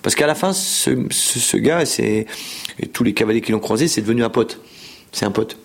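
A man's voice: mid-range voice, expressive, moderate pace, gravelly, husky. A man in his fifties telling his story in a casual interview, rambling with frequent repeated words and fillers. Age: 50s. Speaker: show jumping rider.

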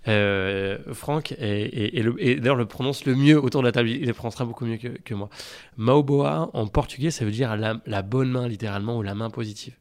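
A man's voice: medium pitch, fairly quick, darkish tone. A man speaking as a podcast guest, earnest and enthusiastic, often repeating words and restarting his phrases. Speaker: podcast guest, company founder. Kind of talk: casual podcast talk.

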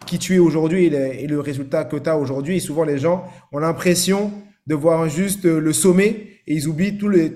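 A man hosting an interview podcast, speaking in a slightly raised, warm voice. He keeps affirming his guest and asks loose, rambling questions. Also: fairly high pitch. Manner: affirming, frequent "d'accord". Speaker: podcast host. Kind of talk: casual interview conversation.

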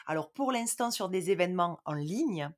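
A woman's voice: lowish voice, lively intonation, normal speed. Lyrical woman interview host. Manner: lyrical, admiring, a little formal.

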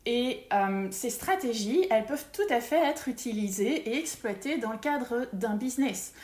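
A fairly high-voiced woman speaks as an interview guest, explaining her consulting work in a lively way. She hesitates often and restarts her sentences. The recording has a noticeable room echo. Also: moderate pace. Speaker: feng shui consultant, podcast guest. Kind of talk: casual interview conversation.

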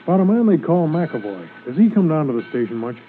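An actor playing a steady, authoritative police sergeant giving brisk orders in a 1950s radio drama. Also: male voice, fairly high pitch, narrow, tinny audio, faint music behind. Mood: businesslike. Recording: thin, noisy 1950s radio recording.

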